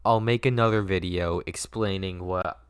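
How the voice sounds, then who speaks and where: A man's voice: low-pitched, fairly even intonation, moderate pace, smooth, dry recording, studio quality. A man narrating a script at a table read, adding casual asides as he goes.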